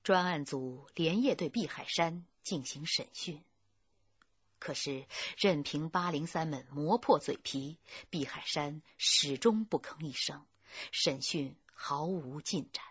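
A woman's voice: sits low, slow, husky, no room sound, muffled recording. A woman reading scripted narration for a radio drama.

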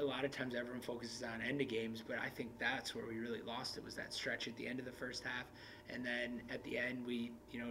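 A man in his thirties, a basketball coach, talking candidly in an interview with halting restarts. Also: medium pitch, noisy background. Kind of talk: post-game interview. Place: indoor media room in front of a backdrop.

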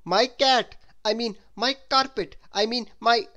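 A woman's voice: high voice, takes it slowly, fairly bright tone, pitched above the performer's own voice. A woman voicing a muddled, agitated storybook man who jumbles his words emphatically. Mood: flustered.

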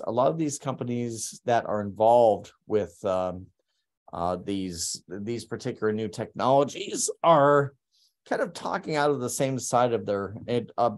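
A man with a lowish voice gives a talk over slides on a video call, speaking through a webcam mic. He hesitates a lot, filling his sentences with ums and repeating words as he searches for them. It sounds like a remote presentation, loose and unscripted. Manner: halting, many ums and restarts. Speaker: artist presenting his work.

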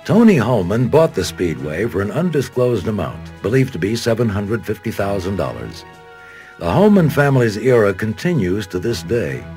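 A man's voice: lowish voice, very animated, moderate pace, a little mellow. An American man reading scripted documentary narration as a studio voice-over. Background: background music.

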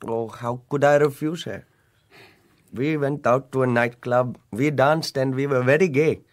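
An actor playing a miserable, sheepish young prince in a radio play, wistful in tone. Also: male voice, mid-range voice, measured pace, close to an everyday voice. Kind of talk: 1960s radio drama acting.

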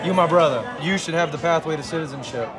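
A man's voice: a little high, fast. A man from South Louisiana, speaking bluntly and plainly to an interviewer outside a bar at night. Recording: outdoor field audio with bar noise. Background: music and crowd chatter.

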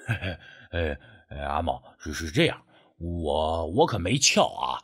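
A man's voice: rumbling low, big pitch swings, unhurried, fairly bright tone, husky, exaggerated voice. A man playing a sly, sheepish rural man in an audiobook, stammering and wheedling as he angles for money. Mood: sheepish, hesitant. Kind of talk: acted audiobook dialogue.

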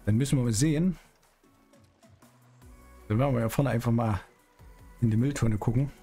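A middle-aged man hosts a roleplay game stream in a chatty, colloquial way. His voice sits at an ordinary male pitch, with faint music behind it. He slips playfully between commentary and in-character chat. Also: moderate pace, warm tone, slightly rough. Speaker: streamer hosting a GTA roleplay series. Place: small indoor streaming room.

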